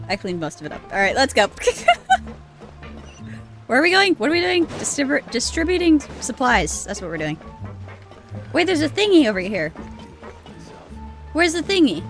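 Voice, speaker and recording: Young woman, casual game commentary, swears a lot, self-mocking, desk mic, game music behind. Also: medium pitch. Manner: chatty, swears a lot, laughs at herself. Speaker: streamer.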